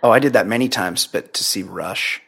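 A woman's voice: a bass voice, creaky, fairly bright tone, breathy edge. A woman co-hosting a podcast who reads out listener emails and teases in a wry, joking way. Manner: wry, teasing, quick jokes. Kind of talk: casual chat.

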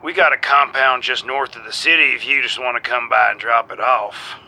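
Pushy shady militia dude, audio drama, really hard edged gravelly voice. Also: male voice, medium pitch, fairly even intonation, brisk, pushed and bright, exaggerated voice. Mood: curt, guarded. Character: terse, suspicious militia man arranging a night deal.

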